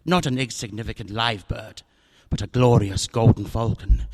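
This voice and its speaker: A man voices a fat, scheming villain who speaks with oily courtesy and florid words. His voice sits at a middling pitch, and every line drips with polite menace. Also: a bit bright. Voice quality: florid, unctuous, courtly manner. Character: fat, genteel, scheming older male villain. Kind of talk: radio drama acting.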